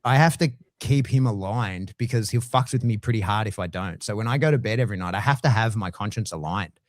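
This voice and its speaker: Blunt Australian man, low dry voice. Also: fairly quick, no echo. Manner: blunt, swears freely, animated pitch swings. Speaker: guest dog trainer. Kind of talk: casual conversation.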